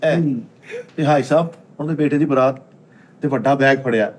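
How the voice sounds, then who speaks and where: A man's voice: medium pitch, normal speed, poor audio. A man with a Pakistani Punjabi accent telling funny stories in casual chat as a panellist on a comedy show. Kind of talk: animated comic storytelling in casual chat.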